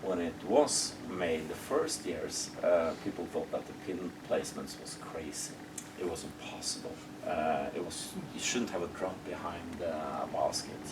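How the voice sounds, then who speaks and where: A man hosting a relaxed outdoor interview at a disc golf course, hesitating often with ums and uhs. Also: low-pitched, normal speed. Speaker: interviewer and host. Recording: distant outdoor mic with background noise.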